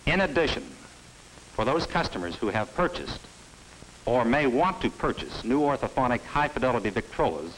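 A man's voice: sing-song, fairly bright tone, a little hiss or hum, poor audio. Emphatic middle-aged male film presenter. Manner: measured, emphatic sales pitch. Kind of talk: scripted promotional narration. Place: studio set. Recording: old film soundtrack with faint music.

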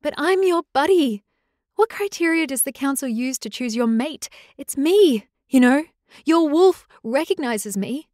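A woman's voice: a little high, normal speed, a little mellow, no echo, clean studio sound, close to an everyday voice. A woman voicing a heartbroken young female werewolf who pleads and lashes out in tearful outbursts, in an audiobook. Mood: pleading. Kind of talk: audiobook character voice.

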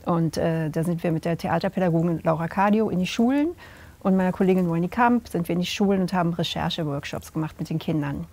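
Lively middle-aged woman, halting answers. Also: fairly low pitch. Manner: animated, searching for words, many fillers. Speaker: theatre director and drama teacher. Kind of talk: interview answers. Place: indoor room with curtains.

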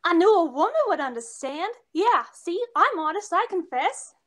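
A woman's voice: very high voice, sing-song, moderate pace. A young woman playing a brash, lovesick chorus girl with slangy, melodramatic tough talk in 1940s radio comedy style. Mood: lovesick, pleading. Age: young adult. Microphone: seated before camera on video call.

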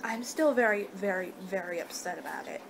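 Young woman, American accent, mid-pitched voice, rambling casual ASMR vampire roleplay, clearly noisy webcam audio. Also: a little fast. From United States. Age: young adult. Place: living room indoors at home. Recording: noisy amateur webcam recording.

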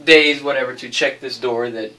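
A male interviewer with a mid-pitched voice asks probing questions conversationally. He is a little distant from the microphone. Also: fairly even intonation, moderate pace, bright, forceful tone. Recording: slightly distant mic.

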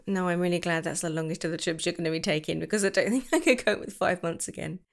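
Woman for an ASMR girlfriend roleplay: affectionate, gushing, slips into whispers, slight vocal fry. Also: on the low side, expressive, a little fast.

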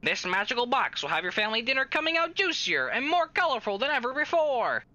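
An actress playing a peppy 1940s saleswoman, pitching emphatically in a vintage radio advertisement. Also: big pitch swings, brisk, pushed and bright, no echo. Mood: peppy, salesy.

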